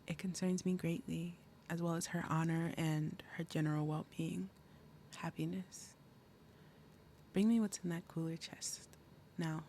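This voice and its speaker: A woman voicing a big, possessive demon mother in an ASMR roleplay, falsely sweet and threatening, speaking deliberately and dropping into breathy murmurs. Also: lowish voice, steady tone, dark tone, a little hiss or hum. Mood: tense, interrogating. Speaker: roleplay audio performer. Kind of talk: ASMR roleplay, acted monologue.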